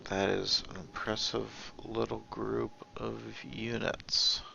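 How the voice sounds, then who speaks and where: Man, very gravelly fry, bright edge, casual gaming commentary, halting and musing. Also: fairly low pitch, little pitch movement, lo-fi sound, slight background noise. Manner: halting, musing, trailing off mid-thought. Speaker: gaming video host. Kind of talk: casual gameplay commentary, thinking aloud.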